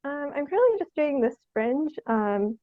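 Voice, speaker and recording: High-pitched young woman, tentative, dull dry recording. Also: big pitch swings, no room sound. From Canada. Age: young adult. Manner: tentative, halting, giggles through speech. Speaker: guest, theatre artist. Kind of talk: casual interview answers.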